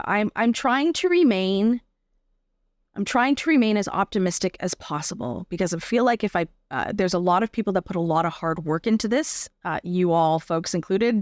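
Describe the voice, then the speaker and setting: A Canadian woman speaks as an interview guest in a mid-pitched voice. She explains her views in a measured, reflective, conversational way. The sound is dry, with little room around her. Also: animated, no room sound. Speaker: interview guest, performer and advocate.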